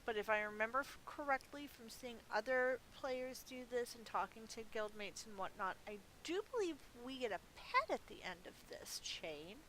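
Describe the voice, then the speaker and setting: A woman with a slightly high voice chats casually as she plays a game for viewers. She thinks aloud as she goes, in an unscripted streamer's way. A faint hiss sits on her budget microphone. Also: lively intonation, moderate pace, hardly any pauses, a bit bright, low-quality recording. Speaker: streamer, gameplay host. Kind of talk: casual live gameplay commentary. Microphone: budget gaming mic.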